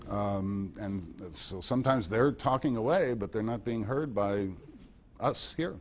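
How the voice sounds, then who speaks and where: A man with a fairly low voice speaks informally to a club meeting. He tells history in loose, conversational anecdotes and drops in wry asides as he goes. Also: expressive, unhurried, slight background noise. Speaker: guest speaker giving a history talk.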